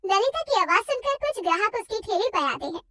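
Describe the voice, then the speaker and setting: A woman narrating a scripted cartoon story in a plain, steady storytelling manner. Her voice is very high and bright, recorded dry and close. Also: fairly quick, no room sound.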